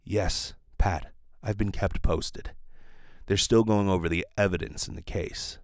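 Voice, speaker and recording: A man voicing a cool, authoritative police detective, measured and matter-of-fact, in an old-style radio play. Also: deep voice, fairly even intonation, no echo, studio quality. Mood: calm authority. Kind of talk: old radio serial acting.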